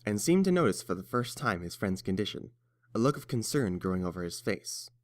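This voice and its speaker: A man's voice: on the low side, very animated, brisk. A man reading scripted story narration as the narrator.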